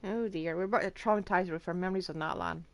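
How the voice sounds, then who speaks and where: A woman's voice: lowish voice, quick pace. A woman streaming a game, reacting casually with wry, joking asides. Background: game music.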